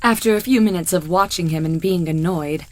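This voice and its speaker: A woman narrates a scripted story. Her pitch is on the low side for a female narrator. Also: a little fast.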